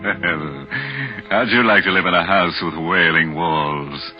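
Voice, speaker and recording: A man's voice: low voice, slow pace. A man hosting a 1940s radio horror show in a theatrical, punning, macabre manner. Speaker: show host and announcer. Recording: dull 1940s radio, music behind.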